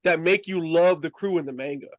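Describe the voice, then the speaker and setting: Male review host, high-pitched voice, enthusiastic, emphatic, slangy, casual fan chat, very dry sound. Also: a little fast, no echo, dull audio.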